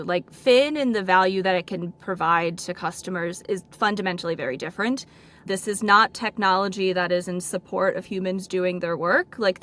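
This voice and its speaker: A woman's voice: medium pitch, a bit bright. A woman speaking as an interview guest, explaining product decisions in an informal, conversational way with frequent "like". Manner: informal, peppers speech with "like" and "right?".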